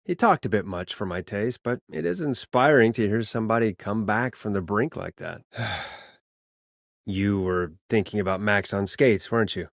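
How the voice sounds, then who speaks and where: A man's voice: on the low side, no echo, studio quality, lightly acted. A man playing a sardonic, world-weary but caring late-night radio host in a video game, talking in a wry, conversational way. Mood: wry, pensive.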